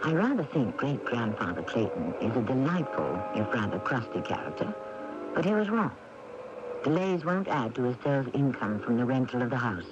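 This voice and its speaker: A man's voice: medium pitch, big pitch swings, normal speed, music in the background. A man hosting a radio mystery, narrating in a wry, literate, storytelling style. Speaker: radio drama host and narrator. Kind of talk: scripted narration, urbane storytelling. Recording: dull, lightly noisy old radio tape.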